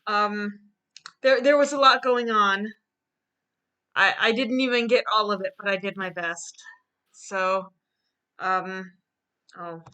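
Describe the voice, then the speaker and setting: A woman's voice: mid-range voice, takes it slowly, pauses often, bright, forceful tone, clean tone. A woman chatting casually and tentatively in a group stream. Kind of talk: casual chat.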